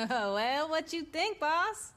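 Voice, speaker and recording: An actress with an American accent playing a cocky, excitable young street racer in a video game, brash and slangy. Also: female voice, sits high, drawn-out words, exaggerated voice. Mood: eager. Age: young adult.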